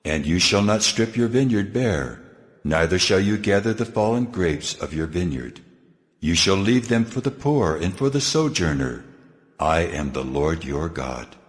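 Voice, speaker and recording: A man playing God in a dramatized scripture reading, solemn and deliberate as he declares laws. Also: deep voice, animated. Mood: solemn, commanding. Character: God, solemn commanding male deity giving laws. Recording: long cathedral-like reverb, music underneath.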